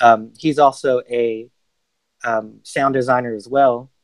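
A man, a music producer, talking as a podcast guest in relaxed casual answers, with many ums. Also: mid-range voice, measured pace, dry recording, poor audio.

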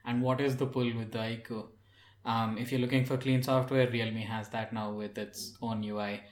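A man's voice: mid-range voice, little pitch movement, normal speed, echoey room. A man chatting casually as a panelist on a tech podcast.

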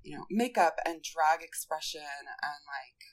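A man with a high voice, a guest on a podcast, talking casually with many fillers and restarts. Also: unhurried, fairly bright tone, low-quality recording. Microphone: podcast mic.

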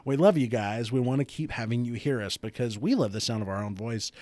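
A man's voice: mid-range voice, fairly quick, dark tone, no echo. A man co-hosting a podcast, joking and playfully arguing with his co-host in casual banter. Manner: joking, teasing, playfully argumentative.

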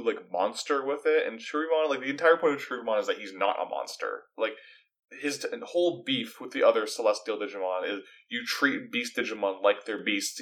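A man's voice: lowish voice, a bit bright, low-quality recording. A man co-hosting a podcast in casual, opinionated chat, with an emphatic, critical and wry manner. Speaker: podcast co-host.

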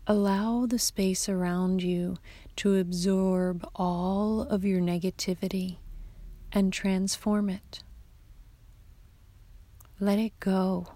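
Hushed, very slow woman meditation guide. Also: fairly low pitch, no echo. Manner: soft, hushed, half-whispered delivery; calm, soothing instructions. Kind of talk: guided yoga nidra meditation.

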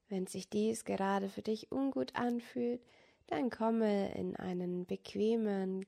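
A woman guiding a yoga meditation as a teacher, speaking softly and calmly with long pauses between instructions. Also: mid-range voice, gravelly. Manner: soft, calm, soothing, with long pauses. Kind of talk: guided yoga nidra meditation.

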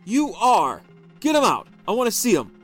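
A man voicing a suspicious, volatile husband who talks in a forceful, accusing way. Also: a little high, animated, a little fast. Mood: demanding.

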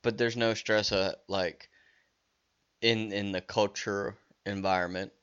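Halting, slow-talking man losing his voice. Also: fairly low pitch, fairly even intonation, a bit bright, smooth, muffled recording. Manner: rambling, halting, with many restarts and fillers. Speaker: podcast host. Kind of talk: casual solo podcast talk.

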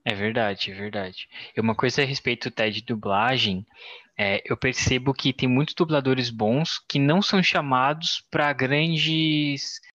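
Bright-voiced male co-host on a dull mic. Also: medium pitch, a little slow, no room sound. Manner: joking, offhand. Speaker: podcast co-host. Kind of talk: casual podcast chat.

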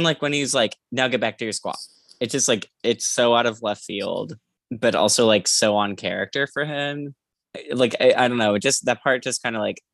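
Casual young-sounding podcast guy, gravelly edge. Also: lowish voice, fairly bright tone, no echo. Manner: hesitant, rambling, filler-heavy with "like". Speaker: podcast co-host. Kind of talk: casual book discussion chat.

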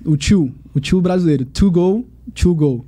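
A young man from Brazil with a Piauí accent, a guest chatting casually on a podcast, telling stories in a relaxed way with quick asides. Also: high-pitched. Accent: Piauí Portuguese. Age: young adult. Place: podcast studio.

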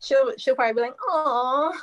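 Dominican-born woman, Spanish first language, casual storyteller, slightly high voice, easy laughs. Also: normal speed. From Dominican Republic. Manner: chatty, laughs often while telling stories. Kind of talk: casual family chat.

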